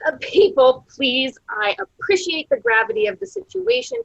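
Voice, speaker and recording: A woman playing a patient, placating grief counselor with a creaky, raspy voice, on a dull, muffled microphone. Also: very high-pitched, very animated, normal speed, dry recording, full character voice. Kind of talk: staged reading of a play.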